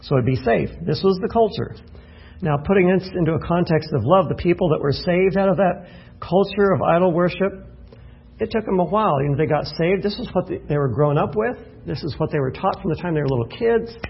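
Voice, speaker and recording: A man's voice: high-pitched, lively intonation, a little fast, creaky, husky, dull audio. A man preaching at an evening Bible study in a folksy, self-deprecating way, thinking aloud and restarting his phrases. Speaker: pastor.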